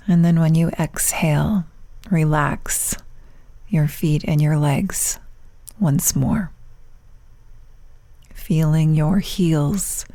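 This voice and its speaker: A woman leading a guided meditation as a yoga nidra teacher, speaking in hushed, soft phrases with long pauses, turning breathy at phrase ends. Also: deep voice, no room sound. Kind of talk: guided meditation, soothing instruction.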